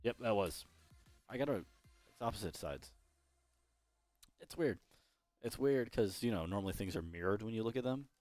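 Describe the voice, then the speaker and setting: Young male esports caster, low hoarse voice, loose rambling play-by-play. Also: darkish tone, no echo. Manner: rambling, self-correcting, chatty. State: losing his voice. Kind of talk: solo play-by-play commentary.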